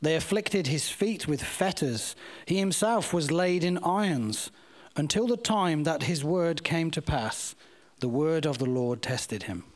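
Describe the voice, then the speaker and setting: A man reads scripture aloud for a congregation. His voice is pitched somewhat high for a male, and the delivery is that of a formal Bible reading. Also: expressive, unhurried. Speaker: scripture reader.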